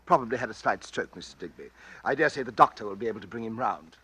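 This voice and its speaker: Nervous, tense gentleman. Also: fairly low pitch, lively intonation, quick pace, slightly rough, exaggerated voice. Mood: concerned. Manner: hesitant, stammering, polite. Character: nervous, suspicious, genteel bachelor amateur sleuth. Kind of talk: old-time radio drama acting. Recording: faintly noisy old radio recording.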